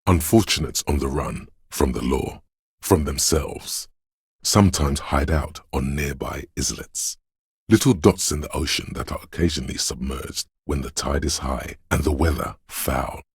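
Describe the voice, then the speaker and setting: Very deep, husky, brooding inner voice. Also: male voice, measured pace, dry recording, exaggerated voice. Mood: solemn, haunting. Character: brooding, all-seeing inner voice sensing a wintry city. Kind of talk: slow atmospheric scripted game narration.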